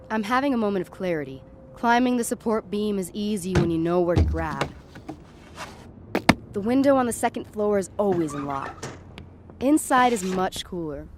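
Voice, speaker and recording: A young woman played by an actress confides a horror drama in the first person, urgent and rattled. Clear street-like ambient noise sits behind her mid-pitched voice as she tells it like an anxious inner monologue. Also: lightly acted. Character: Alex, panicky young woman tripping on acid, confiding.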